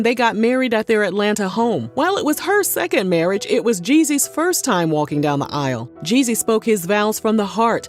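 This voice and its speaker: Woman, gossip narration, sassy asides, fairly high voice, faint music underneath. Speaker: narrator of a celebrity gossip channel. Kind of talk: scripted voice-over narration with ad-libbed asides.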